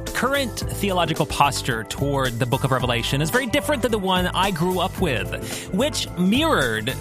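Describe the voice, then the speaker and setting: A man's voice: on the high side, wildly swooping pitch, moderate pace, music in the background. A man hosting a solo podcast, speaking in a conversational but prepared, upbeat and emphatic way. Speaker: podcast host; author and former pastor. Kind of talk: solo podcast monologue, conversational yet scripted.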